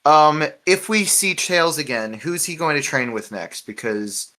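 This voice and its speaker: A man's voice: on the high side, expressive, unhurried, pushed and bright. A man hosting a casual podcast, talking in a loose, rambling way with chuckles. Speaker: podcast host.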